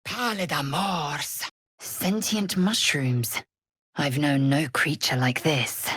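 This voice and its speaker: A woman's voice: sits low, slow, a bit bright, husky, no echo, full character voice. A woman voicing a fierce, haughty alien warrior in a fantasy video game, with an imperious, clipped, solemn delivery. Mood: grave, observant.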